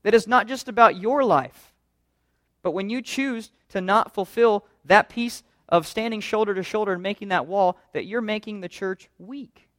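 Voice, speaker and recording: A man's voice: high-pitched, moderate pace, fairly bright tone, no echo, clean studio sound. A man pastor preaching a sermon in an earnest, conversational way, full of rhetorical questions.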